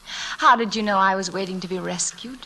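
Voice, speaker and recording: A woman with an American accent playing a warm, romantic young schoolteacher in a classic radio drama. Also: medium pitch, a little fast, rough recording. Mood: delighted. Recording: old radio drama recording, music behind.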